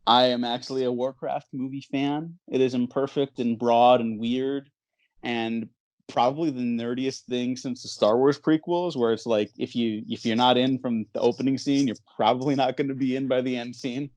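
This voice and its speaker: A man's voice: mid-range voice, fairly even intonation, normal speed, a little mellow, dry recording. A man hosting a podcast in casual, bantering chat, keeping things moving along. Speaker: podcast host.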